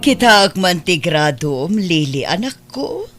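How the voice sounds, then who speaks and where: A woman's voice: lowish voice, sing-song, a little slow, over music. A woman playing a loving, hopeful, well-off adoptive mother in an Ilocano audio drama, with an Ilocano accent. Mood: encouraging.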